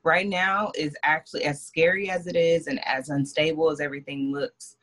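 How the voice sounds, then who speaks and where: A woman talks earnestly about her own business in a casual interview. Her tone is bright and pressing, and she is reflective as she speaks. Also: deep voice, fairly even intonation, moderate pace. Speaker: guest, business owner.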